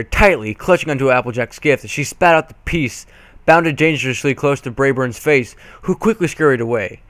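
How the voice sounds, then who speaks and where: A man's voice: medium pitch, fairly bright tone, no echo. A man doing an amateur dramatic reading of a story, reluctant and stumbling over words now and then. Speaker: reader of fan fiction.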